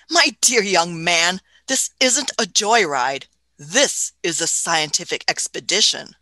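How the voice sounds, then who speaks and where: A woman's voice: on the low side, animated, slow, bright, forceful tone, dry recording, full character voice. A woman playing a fussy, pompous time-travelling scientist in a radio play, with old-fashioned phrasing. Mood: indulgent, lofty.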